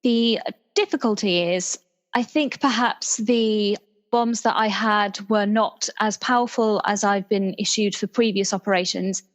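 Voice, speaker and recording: A woman's voice: medium pitch, steady tone, measured pace, close to an everyday voice. Reverberant woman playing an apologetic spy. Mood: matter-of-fact, regretful. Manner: formal, apologetic. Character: apologetic, dutiful female spy explosives agent. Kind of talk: improvised audio roleplay. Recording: reverberant room with long echo.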